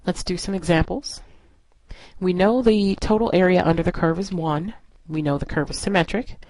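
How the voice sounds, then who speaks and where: A woman's voice: fairly low pitch, normal speed, a little mellow, no room sound, low-quality recording. A woman instructor narrating a lesson in an explanatory, step-by-step way. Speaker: statistics instructor.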